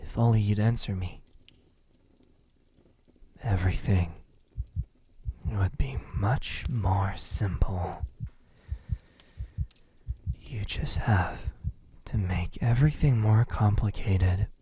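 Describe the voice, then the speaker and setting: A man playing a possessive, lovesick vampire captor in an ASMR roleplay, speaking intimately in a husky voice that often drops into a whisper. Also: fairly low pitch, dark tone, exaggerated voice. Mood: longing, frustrated. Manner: slow, lingering, halting delivery.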